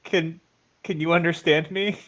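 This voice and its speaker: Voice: mid-range voice, steady tone, unhurried, fairly bright tone. A player in an online tabletop roleplaying game, chatting casually in a giggly, tentative way. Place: online voice call.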